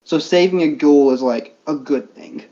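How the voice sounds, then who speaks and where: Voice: medium pitch, normal speed, dark tone. A game master casually narrating a tabletop game with lots of fillers. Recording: dull lo-fi audio.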